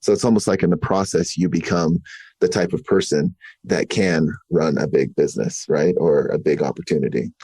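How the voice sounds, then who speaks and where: A man hosting a podcast, chatting in a relaxed way, full of "you know" and fillers. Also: extremely low pitch, moderate pace, slightly rough, no echo. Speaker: podcast host. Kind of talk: casual interview chat.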